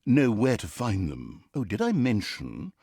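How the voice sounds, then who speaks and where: An actor playing a smug, petty narrator of a comic fantasy video game, pompous and sardonic, breaking the fourth wall in hammy storytelling. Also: male voice, lowish voice, huge pitch swings, unhurried, vocal fry, warm tone, breathy edge, no echo, studio quality, heavily acted. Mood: sly, feigned innocence.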